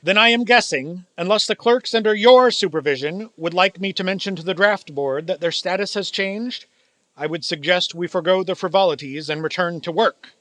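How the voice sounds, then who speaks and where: A cold old miser in a radio play, acted by a man, with a high, hard-edged voice in a dry recording. He is formal and condescending, and his words drip with sarcasm. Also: moderate pace, fairly bright tone, no echo. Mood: sarcastic, menacing. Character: cold, miserly old male business owner, scornful of Christmas. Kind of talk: theatrical radio play acting.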